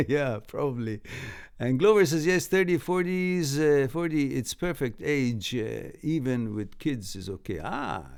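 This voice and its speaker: A man whose first language is Farsi chats live with his viewers, reading their comments aloud and answering them. His advice is blunt but easygoing, and he laughs often between sentences. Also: mid-range voice.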